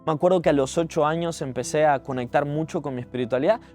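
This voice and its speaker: A young man from Uruguay with a Uruguayan accent speaks in a fairly high-pitched voice. He talks conversationally and earnestly, as in a reflective personal vlog. Soft music plays behind his words. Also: fast. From Montevideo, Uruguay. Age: 20s. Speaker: digital entrepreneur telling their life story. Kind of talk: personal storytelling vlog, spoken to camera.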